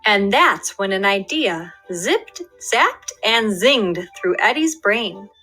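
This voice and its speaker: Expressive woman teacher reading a storybook. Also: mid-range voice, takes it slowly, faint music behind. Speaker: first grade teacher. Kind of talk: reading a picture book aloud to children.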